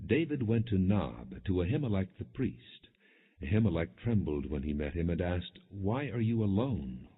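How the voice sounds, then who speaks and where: A man narrating scripture as an audiobook, reading the story and its quoted dialogue in a measured, expressive way. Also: deep voice, husky. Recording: muffled narrowband, phone-like audio.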